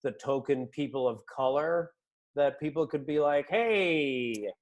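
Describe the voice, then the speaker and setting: Middle-aged man, American accent, somewhat high pitch, informal interviewer, reflective casual talk. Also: a little slow.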